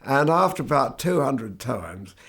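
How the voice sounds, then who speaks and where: An older man, a guitarist, telling anecdotes from his life in a relaxed, wry, conversational way. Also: medium pitch, unhurried, breathy edge. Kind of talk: reflective anecdotal storytelling.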